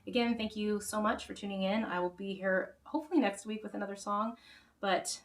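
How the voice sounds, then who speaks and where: A woman's voice: mid-range voice, fairly quick. A woman hosting her own music show, chatting casually to viewers in a rambling way with many restarts, her voice a little worn. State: slightly losing her voice. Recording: roomy sound, mic far off, faint guitar.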